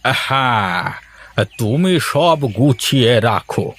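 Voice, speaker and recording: A man's voice: medium pitch, animated, slow pace, a bit bright. A man voicing an elderly, easygoing village husband in a cartoon, homely and agreeable. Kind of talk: Bengali cartoon voice acting.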